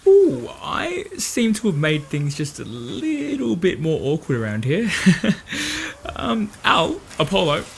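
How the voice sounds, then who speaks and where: A man's voice: sits high, huge pitch swings, slow, gravelly, slight background noise, heavily acted. A man playing an awkward character in an audio drama, hesitant and trying to be jokey. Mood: awkward, sheepish. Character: Norton, awkward man attempting small jokes.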